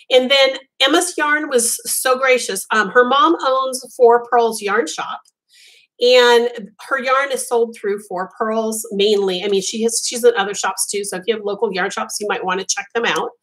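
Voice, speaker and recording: A woman's voice: a little high, fairly even intonation, moderate pace, a bit bright, poor audio. A woman whose first language was Italian, hosting a casual live chat as a crochet designer, rambling with frequent ums and restarts.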